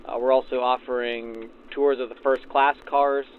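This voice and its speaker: A man's voice: medium pitch, little pitch movement, moderate pace. A man giving informal, explanatory interview answers as a supervisor, through a vintage radio filter. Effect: backdated 1940s radio filter. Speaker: railroad passenger services supervisor being interviewed. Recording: tinny radio sound with faint noise.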